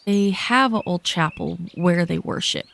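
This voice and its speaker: Village girl for sci-fi audio drama, played by a woman: young guide, guarded, playful streak, slightly low pitch. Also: measured pace, vocal fry, a bit bright. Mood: guarded, quiet.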